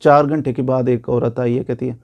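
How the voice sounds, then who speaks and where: A man's voice: medium pitch, level tone, normal speed, darkish tone, lo-fi sound. A man with a Pakistani accent giving an informal law lecture, explaining by repeating points and posing questions that he answers himself.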